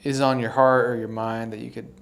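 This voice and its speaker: A man's voice: medium pitch, little pitch movement, brisk. A man in his thirties co-hosting a podcast, chatting casually and saying "like" and "dude" a lot. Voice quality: voice tires and goes hoarse easily. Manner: casual, says "like" and "dude" often. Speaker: podcast co-host. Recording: echoey room.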